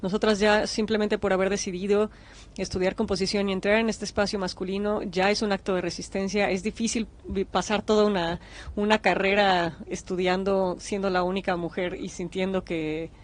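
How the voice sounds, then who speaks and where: A woman with a Mexican accent, a composer speaking frankly in an interview, often correcting herself. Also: medium pitch. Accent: Mexican Spanish. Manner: frank, personal, with self-corrections. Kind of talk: interview answers.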